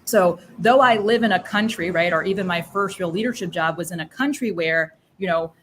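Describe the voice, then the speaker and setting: A woman, a leadership coach speaking as a guest on an interview podcast, talking quickly with flat emphasis and often tagging her sentences with "right?". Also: lowish voice, slight background noise.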